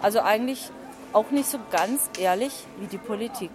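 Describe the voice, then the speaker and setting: A woman's voice: medium pitch, fairly quick, music in the background, low-quality recording. A woman farmer and market seller answering interview questions informally at her outdoor stall. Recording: busy outdoor market chatter.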